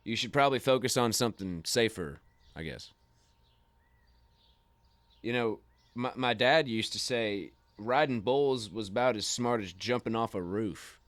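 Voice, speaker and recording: A man's voice: medium pitch, measured pace, a bit bright, no echo, natural voice. A man acting in a radio play as a young, teasing but guarded uncle. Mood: guarded, candid. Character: young Texan uncle, teasing, guarded former bull rider.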